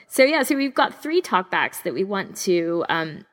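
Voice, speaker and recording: A woman's voice: mid-range voice, big pitch swings, moderate pace, fairly bright tone. A woman chatting casually as co-host of a podcast.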